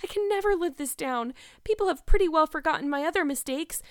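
A woman's voice: high voice, a little fast, no echo, studio quality, pitched above the performer's own voice. A woman voicing a despairing, wordy young girl in a storybook, pleading and earnest in a melodramatic way. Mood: tearful despair.

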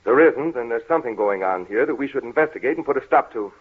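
An actor playing a commanding, stubborn gentleman in 1930s radio style, authoritative and theatrically indignant. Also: medium pitch, lively intonation, fast, husky. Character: commanding, stubborn, irritable gentleman master exploring dark house. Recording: tinny, noisy 1930s radio recording.

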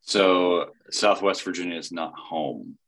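Very deep man interviewing, halting, full of restarts. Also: a bit bright, poor audio. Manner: halting, many fillers and restarts. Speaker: podcast host. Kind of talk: casual interview.

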